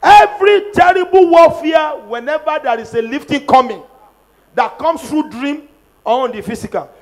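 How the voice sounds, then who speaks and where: A middle-aged man with a Nigerian accent leading prophetic prayers, shouting declarations into a handheld microphone in a large church. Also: very high voice, moderate pace. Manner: fervent, shouting declarations, repeating lines for the crowd. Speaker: pastor. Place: large church auditorium, on a stage at a pulpit.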